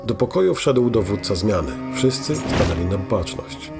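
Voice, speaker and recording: Low-voiced male fantasy storyteller. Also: expressive, normal speed, gravelly, over music. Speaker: narrator. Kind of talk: scripted fantasy story narration.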